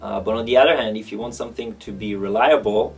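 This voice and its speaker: A man in his thirties with an Israeli accent, answering interview questions in a reflective, halting way, thinking aloud. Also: lowish voice, fairly even intonation, vocal fry, far from the mic, faint music behind. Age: 30s.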